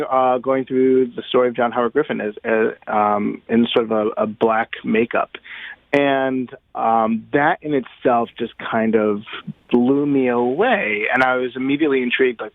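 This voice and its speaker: A man being interviewed on the radio, talking conversationally and thoughtfully, halting as he searches for words. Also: medium pitch, dry recording. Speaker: interview guest, playwright. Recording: narrow, phone-like line.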